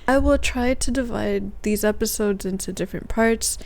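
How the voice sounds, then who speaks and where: A soft-spoken woman hosting her own podcast, casually recounting her dreams in a relaxed monologue. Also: medium pitch, moderate pace, vocal fry, rough recording. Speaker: podcast host.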